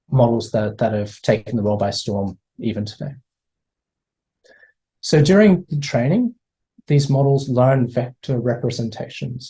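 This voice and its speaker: A man's voice: medium pitch, warm tone, slightly rough, rough recording. A man in his thirties with an Australian accent, giving an online lecture over a video call in a hesitant way, with many fillers and repeated words. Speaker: guest speaker.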